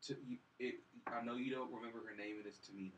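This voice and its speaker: A man's voice: mid-range voice, fairly even intonation, very fast, noisy background. A man co-hosting a casual chat, correcting the others in a matter-of-fact way. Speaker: podcast co-host. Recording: echoey room, distant mic, background voices.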